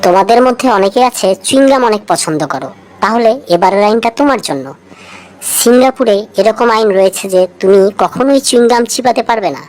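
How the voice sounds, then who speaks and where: A woman's voice: a little high, lively intonation, moderate pace, darkish tone, rough recording. A woman reading a scripted, light trivia feature, addressing the listener directly and posing rhetorical questions. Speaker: co-narrator of a feature video.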